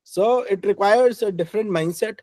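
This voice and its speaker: A man with an Indian English accent, a guest speaker and engineering head giving career advice with a loud, emphatic delivery, slipping between English and Hindi and often checking in with "right?". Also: high voice, normal speed, no echo.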